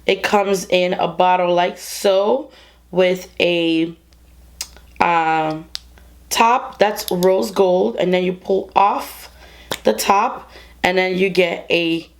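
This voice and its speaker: Congested young woman vlogger, lowish voice, casual chatty beauty review to camera. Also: fairly even intonation, slow pace, gravelly. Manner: chatty, talks straight to viewers. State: congested, under the weather. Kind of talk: casual makeup review and demo. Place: bedroom studio with clothing rack.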